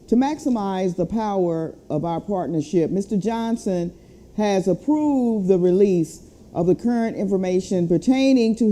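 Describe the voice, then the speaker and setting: Dark-voiced woman giving a formal update. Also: medium pitch. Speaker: civil rights chapter president. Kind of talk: spoken update and reading a letter aloud.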